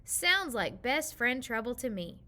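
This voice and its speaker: A patient father answers his grumbling daughter in a storybook in a woman reader's voice. His calm, dry humour comes through in a bright, clear tone. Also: fairly high pitch, a little slow, full character voice. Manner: calm, dryly humorous.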